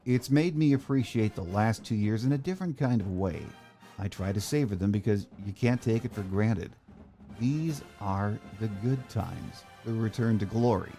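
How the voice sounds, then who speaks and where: A man's voice: lowish voice, moderate pace, dark tone, faint music behind. A middle-aged man hosting a sports podcast, delivering a scripted monologue. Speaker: podcast host.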